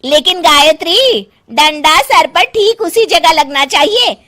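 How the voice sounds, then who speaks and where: Woman doing a shrill-pitched, blunt older friend. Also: expressive, slow pace, pushed and bright, exaggerated voice. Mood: blunt, emphatic. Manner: forthright, emphatic. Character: blunt older female friend of the mother-in-law. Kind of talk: comic story dialogue.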